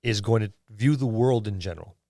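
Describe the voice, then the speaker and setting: A man co-hosting a podcast, chatting casually and haltingly about tabletop gaming, thinking aloud as he goes. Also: lowish voice, warm tone, no echo, clean studio sound. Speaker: podcast co-host.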